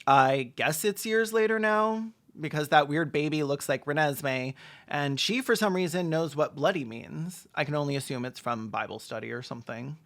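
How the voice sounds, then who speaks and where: A snarky video essayist with a mid-pitched voice, doing comedic film commentary. The delivery is camp and deadpan, full of sarcastic asides. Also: normal speed.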